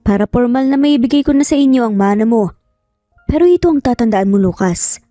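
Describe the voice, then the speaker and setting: A woman's voice: a little high, lively intonation, brisk, warm tone, dry recording, clean studio sound, close to an everyday voice. A Filipino woman voicing a cold, threatening older father with a stern, warning manner. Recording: music behind the voice.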